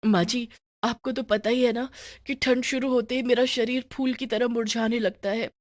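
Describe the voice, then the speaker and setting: A woman's voice: on the high side, fairly even intonation, moderate pace, no echo, voice pushed up, lightly acted. A woman playing a sly, lazy young daughter-in-law in a cartoon family story, wheedling and dramatically self-pitying. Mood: feebly wheedling.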